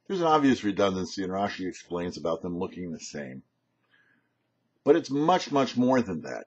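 An older man giving a short religious teaching as a rabbi, emphatic, with rhetorical questions and pauses. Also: low-pitched, very animated, husky. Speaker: rabbi and host of a weekly Torah show. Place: small home office, indoors. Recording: dull, lo-fi webcam sound.

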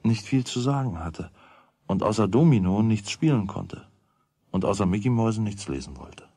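A man with a husky voice narrating a children's radio play. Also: fairly low pitch, lively intonation, moderate pace, warm tone, no room sound, studio quality. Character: storyteller of a children's tale.

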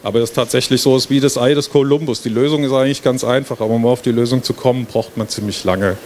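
A man's voice: mid-range voice, fast talker. A middle-aged man, a university lecturer, giving an informal explanatory presentation into a handheld microphone on a stage. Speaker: university professor presenting a project. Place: stage in a book fair hall before a small audience. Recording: steady trade-fair hall noise.

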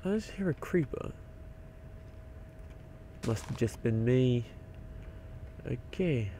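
Young man with a croaky, gravelly voice. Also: mid-range voice, lively intonation, slow pace, warm tone, slight background noise. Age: young adult. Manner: rambling, swears often, mutters to himself. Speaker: game video maker. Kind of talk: casual gameplay commentary.

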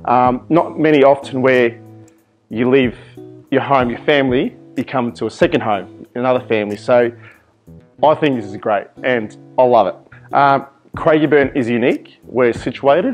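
A man's voice: mid-range voice, moderate pace, soft background music. Earnest young man, native Arabic speaker. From Iraq. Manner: earnest, hesitant with many ums and restarts. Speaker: volunteer firefighter. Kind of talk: interview answers. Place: fire station office.

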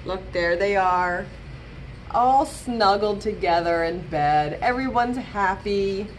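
A woman in her thirties, a children's librarian, reading a picture book aloud and leading songs for young children in a gentle, sing-song, expressive way, filmed on a webcam in a small room. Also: medium pitch, slow, slight background noise, poor audio. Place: small room with bookshelves, indoors. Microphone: webcam at close range.

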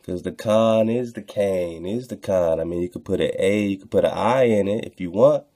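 A man's voice: deep voice, moderate pace, mellow. A man hosting online radio, commenting casually on texts he reads aloud, repeating phrases for emphasis and addressing listeners in slang.

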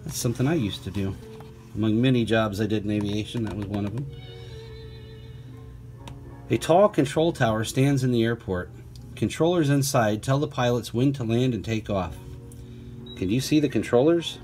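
Casting: male storyteller, mid-pitched, deliberate children's read-aloud with chatty asides and playful questions, music underneath. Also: darkish tone. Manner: clear, deliberate reading with playful questions to young listeners. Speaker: teacher reading a picture book aloud.

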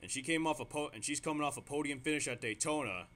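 Excitable amateur race commentator, man. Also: fairly high pitch, fast talker. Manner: excitable, restarts sentences with fillers. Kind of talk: amateur sim racing play-by-play commentary.

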